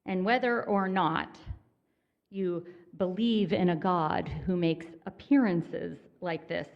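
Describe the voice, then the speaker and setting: A woman minister delivers her sermon in a church sanctuary, unhurried and conversational, with a dry, self-deprecating humor. Her voice sits a little low, and she takes her time over each phrase. The recording sounds dull and slightly lo-fi.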